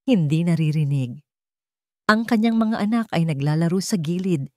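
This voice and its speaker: Female narrator for dramatic love story, lowish pitch, dry studio-like sound. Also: a little mellow, no room sound. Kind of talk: scripted dramatic romance narration.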